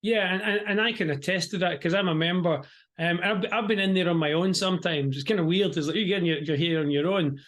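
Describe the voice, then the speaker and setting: A man's voice: high-pitched, a little fast, fairly bright tone. Chatty European-born man hosting a video call. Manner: chatty, repeats words and restarts often. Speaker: interview host. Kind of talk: casual virtual interview.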